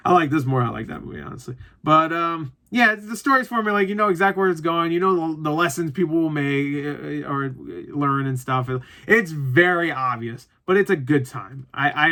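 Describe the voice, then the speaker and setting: A man with a bright, punchy voice pitched a little high talks casually as a reviewer. He rambles through his opinions without a script, doubles back to correct himself and swears freely. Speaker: movie reviewer. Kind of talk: casual unscripted review chat to viewers.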